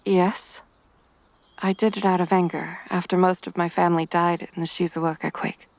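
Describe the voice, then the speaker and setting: A woman voicing a reserved, refined mother who carries quiet guilt, with formal, measured wording in a motion comic. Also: on the low side, flat delivery, creaky, dry recording. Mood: sorrowful, hushed.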